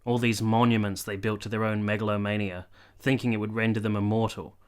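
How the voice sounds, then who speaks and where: A man's voice: on the low side, little pitch movement, brisk, smooth, close to an everyday voice. An actor playing a cynical, bitter soldier captain in his late twenties in a period radio drama, dry and argumentative. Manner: dry, argumentative, matter-of-fact.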